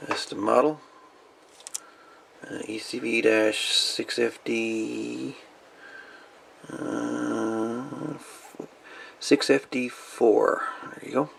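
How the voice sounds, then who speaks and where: A man giving a casual hands-on show-and-tell as a hobbyist, in a folksy, rambling, halting way. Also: medium pitch, flat delivery, vocal fry, a bit bright, slight background noise. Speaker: radio hobbyist presenting salvaged parts.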